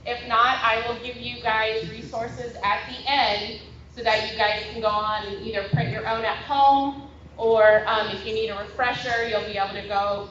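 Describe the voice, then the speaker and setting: A woman giving a presentation to a meeting, loud and chatty. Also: fairly high pitch, slight background noise. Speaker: guest speaker. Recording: echoey muffled hall recording.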